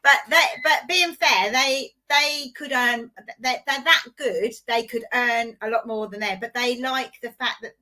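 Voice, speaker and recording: A woman's voice: fairly high pitch, a little slow, few pauses, sharp tone, poor audio. A woman in her fifties hosting a podcast over a video call, chatting in a rambling, self-deprecating way. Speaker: podcast host. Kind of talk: casual interview chat. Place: home office with bookshelves.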